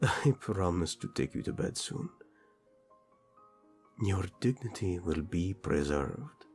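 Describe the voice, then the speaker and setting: A man playing a hushed, low-voiced tender prince. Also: animated, takes it slowly, soft background music, exaggerated voice. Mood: tender, soothing. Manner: hushed, gentle, reassuring. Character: tender, soothing young prince lulling his beloved to sleep. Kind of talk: romantic audio roleplay, intimate murmuring.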